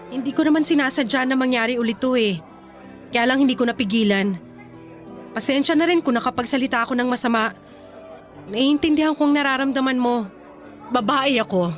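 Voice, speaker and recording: A woman's voice: a little high, brisk, pauses often, faint music behind, close to an everyday voice. An actress with a Filipino accent playing a proud, self-possessed young mother and ex-wife in a radio romance drama. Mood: sincere, apologetic.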